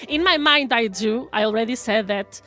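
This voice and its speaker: A woman's voice: on the high side, lively intonation, a little slow, fairly bright tone, soft background music. A woman from Portugal with a Portuguese accent, chatting casually with viewers on a live stream, laughing often and restarting her words.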